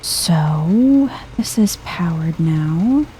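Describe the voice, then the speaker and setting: A woman giving soft-spoken, chill ASMR-style commentary as she plays a video game, murmuring as if to herself. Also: fairly low pitch, lively intonation, very slow, a little hiss or hum, rough recording. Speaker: video game streamer, let's player.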